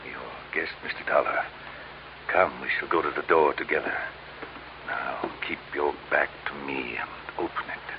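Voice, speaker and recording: An actor playing a pompous, scheming crime boss in a radio drama, speaking in florid, mock-courteous phrases. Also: male voice, a bass voice, steady tone, normal speed. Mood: commanding, smooth. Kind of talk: old-time radio drama acting. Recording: dull, hissy old radio recording.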